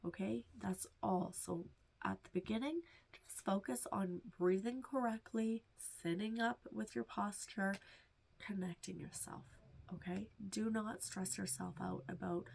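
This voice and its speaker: Stuffy-nosed woman walking you through meditation, nasal, soft, a bit of gravel in the voice. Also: mid-range voice. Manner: soft, reassuring, drifts into whispers. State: stuffed-up nose. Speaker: meditation teacher. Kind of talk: casual instructional talk.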